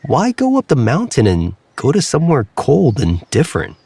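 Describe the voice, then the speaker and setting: A man's voice: mid-range voice, wildly swooping pitch, moderate pace, creaky, dark tone, slightly rough, dry recording, pitched above the performer's own voice, exaggerated voice. A man voicing a friendly, chatty frog in a storybook tale. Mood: questioning. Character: mellow, friendly swamp frog.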